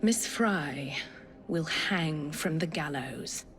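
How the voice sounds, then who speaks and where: Female game villain: cold, vengeful, lowish voice, measured pace, faint music. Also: animated, a bit bright, heavily acted. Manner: cold, deliberate, menacing. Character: ruthless, vengeful female villain, devoted lieutenant. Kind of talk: dramatic video game acting.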